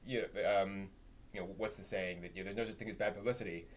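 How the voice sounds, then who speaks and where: A man's voice: fairly low pitch, steady tone, quick pace, crowd noise. Man hosting a casual, hesitant discussion. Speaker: live stream host.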